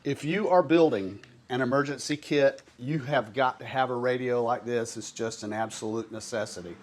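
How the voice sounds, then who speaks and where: A man's voice: mid-range voice, normal speed. Male American product reviewer, casual hands-on demo delivery, some faint background noise. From coastal North Carolina, US. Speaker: product reviewer presenting to camera.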